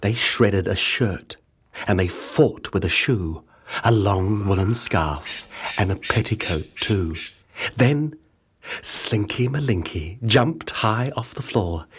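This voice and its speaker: A man narrating a children's picture book in rhyme, with dramatic, measured, expressive storytelling. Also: lowish voice, a little hiss or hum. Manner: dramatic, measured storytelling with rhythmic rhyming delivery. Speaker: audiobook narrator. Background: music.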